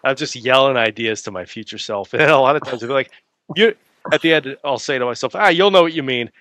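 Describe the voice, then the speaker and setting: A man's voice: mid-range voice, fast talker, a bit bright, no room sound, studio quality. A male writer chatting casually as an interview guest, telling wry, self-mocking anecdotes. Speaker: guest novelist.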